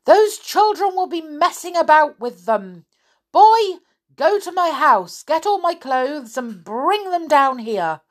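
Storybook: woman doing a fierce bossy old woman, shrill and loud, slow lively scolding. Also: heavily acted.

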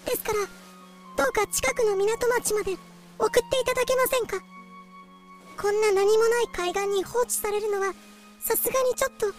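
Female actor as timid, courteous wealthy merchant begging, tiny very high voice over music. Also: steady tone, normal speed, pauses often. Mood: pleading. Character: polite, pleading rich Armenian merchant.

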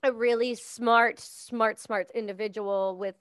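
A woman's voice: on the high side, steady tone, unhurried, a bit bright, smooth, dry recording. Chatty Canadian woman host, dry sound. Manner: effusive, chatty, praising. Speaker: podcast host. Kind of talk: casual interview chat.

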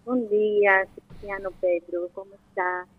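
A woman's voice: mid-range voice, little pitch movement, unhurried, slightly rough, dry recording, soft background music. A 28-year-old woman with an Angolan accent, calling a radio phone-in and casually explaining a personal problem to a doctor. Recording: dull lo-fi phone line.

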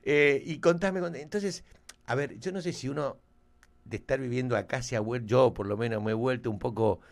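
A man with an Argentine accent co-hosting a podcast, telling a long anecdote and pausing to search for words. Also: mid-range voice, creaky. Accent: Argentine Spanish. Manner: rambling storyteller, many restarts and fillers. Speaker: co-host. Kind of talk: casual podcast chat, anecdote.

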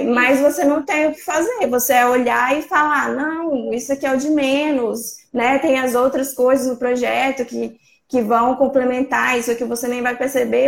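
A woman from Espírito Santo talks as an interview guest in a high voice with a gravelly edge. She explains things earnestly and conversationally, often checking in with the listener. The video-call audio has a roomy echo. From Espírito Santo, Brazil. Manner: earnest, conversational, steady tag questions. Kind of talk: casual explanatory talk on a live stream.

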